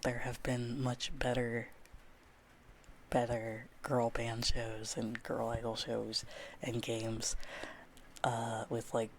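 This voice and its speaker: A woman streaming live ASMR, talking softly and often dropping into a whisper, rambling with restarts and small laughs. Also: very deep voice, slight background noise. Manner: rambling and self-deprecating, with many restarts and soft laughs. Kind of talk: soft-spoken ASMR chat, drifting into whispers. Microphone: close ASMR mic.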